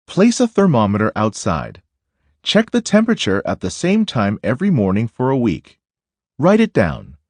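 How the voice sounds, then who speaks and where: A man narrating a children's nonfiction book aloud in a deliberate, instructive way. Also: medium pitch, wildly swooping pitch, darkish tone, no echo.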